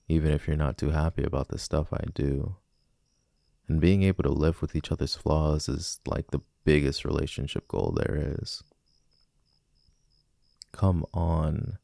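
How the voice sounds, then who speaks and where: A man's voice: rumbling low, gravelly, warm tone, no echo, exaggerated voice. A man playing a cocky, flirtatious villain in an ASMR romance roleplay, teasing and casually persuasive, close on the mic. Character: flirty, cocky male villain smitten with a civilian.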